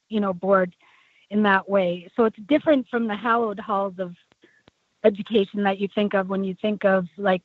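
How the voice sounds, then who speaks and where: A woman guest telling her story in a casual interview, with a low voice left by a tracheotomy, talking in a chatty way full of fillers and restarts. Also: no room sound. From United States. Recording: tinny phone line, dry.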